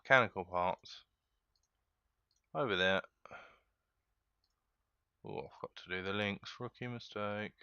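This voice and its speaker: A man hosting a casual game tutorial, walking viewers through each step in a relaxed, chatty way and often calling them guys. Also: on the low side, slow pace, pauses often, smooth, no room sound, muffled recording. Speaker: gaming tutorial host.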